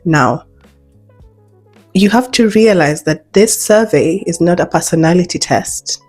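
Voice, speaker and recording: A woman's voice: on the low side, measured pace, husky, soft background music. A Kenyan woman, an English teacher, giving job advice in a vlog in a conversational, emphatic way. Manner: emphatic, conversational, animated with repeated points. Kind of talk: advice vlog, speaking to camera.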